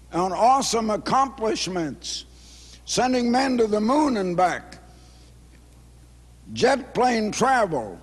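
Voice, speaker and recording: A man in his eighties, hoarse, giving a formal speech at a banquet in slow, emphatic phrases. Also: high-pitched. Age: 80s. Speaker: speaker at a banquet. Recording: reverberant banquet hall.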